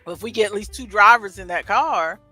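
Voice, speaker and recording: A man's voice: high-pitched, fairly quick, sharp tone, no echo, faint music behind. A man co-hosting a livestream in casual, conversational chat. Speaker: livestream co-host.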